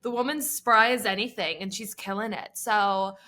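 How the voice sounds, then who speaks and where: A woman's voice: mid-range voice, steady tone, moderate pace, sharp tone. A woman in her late twenties with a mid-range voice, co-hosting a podcast. She speaks in an upbeat, emphatic way and states her opinions firmly in casual conversation. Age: 20s. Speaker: podcast co-host. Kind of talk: casual chat.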